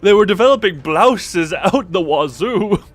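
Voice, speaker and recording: A man's voice: high-pitched, expressive, a little slow, soft background music. A man streaming a story game, reading dialogue aloud and joking in a self-mocking way. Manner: jokey, self-mocking, breaks into laughter.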